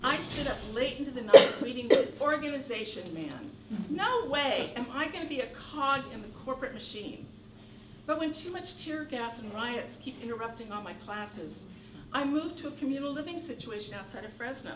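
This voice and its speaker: A woman in her sixties from Northern California telling a wry, animated comic monologue on a theater stage. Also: high voice, normal speed. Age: 60s. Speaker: solo performer. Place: theater stage with live audience. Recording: echoey room from far off, noisy.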